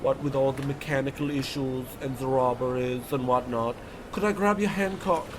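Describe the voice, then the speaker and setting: An actor playing an eager, folksy, fawning young ticket clerk in an old-time radio comedy. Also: male voice, mid-range voice, moderate pace, noisy background, close to an everyday voice. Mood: polite, folksy. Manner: chirpy, bootlicking, excitable. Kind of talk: old-time radio play parody acting.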